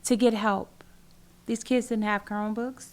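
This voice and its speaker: A woman in her late forties talks as a guest in a casual interview. Her voice sits at an everyday medium pitch. She speaks earnestly and with emphasis, repeating key phrases for weight. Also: fairly even intonation, measured pace. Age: 40s. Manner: earnest, emphatic storytelling with repetition. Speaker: guest, community organizer.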